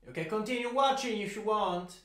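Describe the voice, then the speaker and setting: A man's voice: high voice, a little fast, a bit bright. A man with an Italian accent performing an improvised boyfriend roleplay, teasing in an affectionate, playful way. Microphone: USB microphone.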